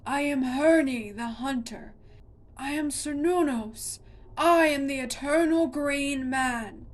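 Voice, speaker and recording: A woman's voice: unhurried, mellow. A woman reading aloud, voicing a towering ancient tree monster that roars and declaims. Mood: roaring. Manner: booming, declaiming. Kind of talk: storybook reading.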